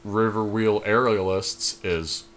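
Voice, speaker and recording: A man's voice: on the low side, fairly even intonation, takes it slowly, fairly bright tone. A man co-hosting a podcast, chatting casually about card game strategy and thinking his choices through aloud. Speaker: podcast co-host.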